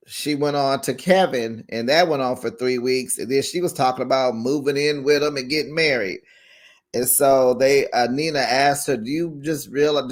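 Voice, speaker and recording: A man hosting a casual recap show, chatty and gossipy, acting out quotes in a raised voice and laughing along. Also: medium pitch.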